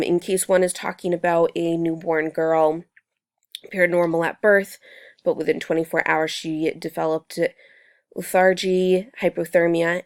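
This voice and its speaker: A young woman student with a cold, explaining coursework in a measured way with frequent ums and restarts. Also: on the low side, vocal fry, no room sound, studio quality. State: sick with a cold, voice strained. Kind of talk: recorded class presentation.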